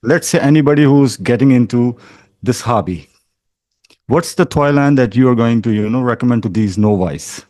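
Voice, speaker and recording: A man's voice: medium pitch, expressive, moderate pace, warm tone, dry recording, clean studio sound. A man co-hosting a casual podcast who asks earnest, polite questions, calling his guest "bro" and "sir". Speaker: podcast co-host.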